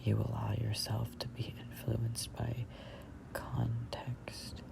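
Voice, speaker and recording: A person in their twenties reading a book aloud as a whispered ASMR read-along, in halting, deliberate phrases. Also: mid-range voice, completely flat delivery, vocal fry. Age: 20s. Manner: halting, deliberate phrasing with stumbles over words. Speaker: reader of a self-help book.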